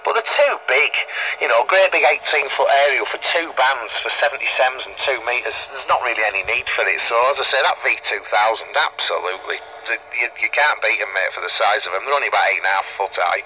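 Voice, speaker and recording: A man's voice: mid-range voice, quick pace, highs cut off. A middle-aged man with a Northern English accent chats in a rambling, matey way as an amateur operator over VHF radio. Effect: VHF FM radio transmission. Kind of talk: casual chat over ham radio. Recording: band-limited two-way radio, faint background noise.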